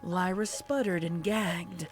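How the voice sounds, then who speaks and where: Woman, lowish pitch, scripted horror narration, dramatic storytelling, soft music underneath. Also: fairly even intonation, takes it slowly.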